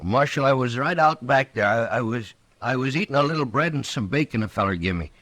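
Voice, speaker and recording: A poor, simple-hearted drifter in an old radio western. He has a mid-pitched voice and a rural American accent. His speech is halting and artless, with a frightened, pleading edge. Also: animated, fairly bright tone, no room sound. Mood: alarmed, pleading. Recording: vintage radio drama recording.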